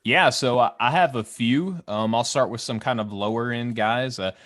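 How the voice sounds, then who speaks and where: A man's voice: medium pitch, moderate pace, fairly bright tone. A man from Arkansas with a Southern US accent, a courteous, folksy podcast guest giving casual sports analysis.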